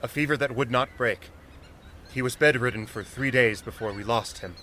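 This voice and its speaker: An eager, dutiful young sailor , acted by a man in a period radio play. His voice is bright and forward, with sincere, respectful and formal delivery. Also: medium pitch, normal speed, slight background noise, lightly acted. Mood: solemn. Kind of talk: audio drama acting.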